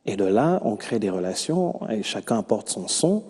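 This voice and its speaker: A man with a Congolese accent answering interview questions about himself in an earnest, measured way, with hesitations. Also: lowish voice, big pitch swings, a little mellow, slightly rough. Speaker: musician being interviewed. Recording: long reverberant hall-like echo.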